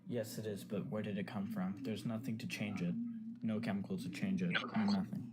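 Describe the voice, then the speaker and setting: A man's voice: fairly low pitch, steady tone, fairly quick, a loud crowd. A man in his twenties calling in to a debate stream, insistently pressing his point. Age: 20s. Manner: insistent, keeps pressing the same question. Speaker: caller. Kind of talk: call-in debate. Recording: noisy call-in audio.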